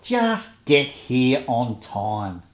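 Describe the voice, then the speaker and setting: A man's voice: mid-range voice, big pitch swings, drawn-out words, dull audio. A man playing a jaded, sardonic older radio actor in a comedy about a long-running serial. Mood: sardonic, weary.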